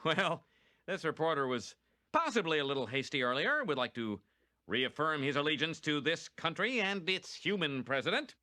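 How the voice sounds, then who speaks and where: Pompous cartoon news anchor, sharp voice. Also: male voice, fairly high pitch, sing-song, no room sound. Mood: sheepish, backtracking. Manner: pompous, solemn, halting. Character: pompous, cowardly middle-aged male TV news anchor.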